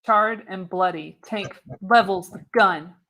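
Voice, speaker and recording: A woman's voice: medium pitch, takes it slowly, fairly bright tone. A woman narrating screenplay stage directions for a live radio play, reading expressively with the occasional stumble. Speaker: narrator, show host.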